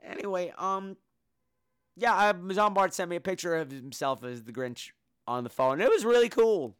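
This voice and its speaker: A man's voice: high-pitched, steady tone, moderate pace, a bit bright, clear, resonant tone, dry recording, studio quality. A man hosting a podcast in casual, campy comedic chat, full of sassy, mock-indignant banter. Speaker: podcast host.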